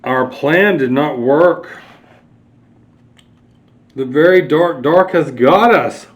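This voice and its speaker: A man voicing a small, excitable young dinosaur from a picture book, with a dramatic, urgent manner. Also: a little high, slow, voice pushed up. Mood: dismayed.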